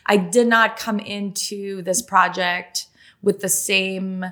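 A woman's voice: mid-range voice, steady tone, fairly bright tone. A woman with a French Canadian accent, a native French speaker, talking casually as an interview guest and filling her sentences with "like". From Quebec, Canada. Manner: chatty and quick, heavy use of "like" fillers. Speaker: journalist and author, guest.

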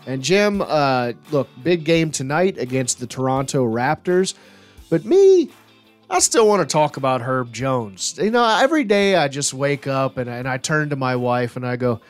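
A man's voice: medium pitch, very animated, normal speed, soft background music. A man hosting a sports podcast in casual chat, with a jokey, enthusiastic way of telling stories.

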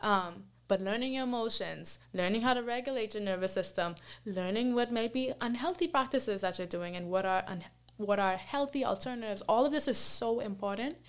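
A woman's voice: medium pitch, moderate pace, hardly any pauses. A woman speaking as a podcast guest in casual conversation, with an earnest, informal way of making her points.

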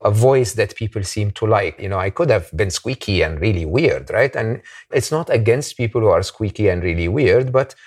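A man with a very deep voice hosts an interview in a relaxed, chatty way. He jumps in with eager, emphatic remarks and casual asides. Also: moderate pace, hardly any pauses. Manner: emphatic and enthusiastic, with informal asides. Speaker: podcast host.

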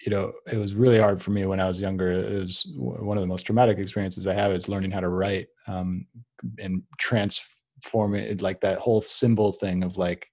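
A young man with a somewhat low voice hosts a relaxed podcast conversation. He drifts from thought to thought, restarting sentences and circling back as ideas come to him. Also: a little fast. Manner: rambling, many restarts and tangents. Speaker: podcast host. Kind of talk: casual conversational interview.

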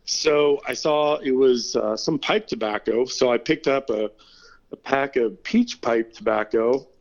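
A man's voice: medium pitch, measured pace, vocal fry, highs cut off. A middle-aged man from California, a guest telling stories in a laid-back, casual way and laughing between them. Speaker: interview guest. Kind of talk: casual storytelling.

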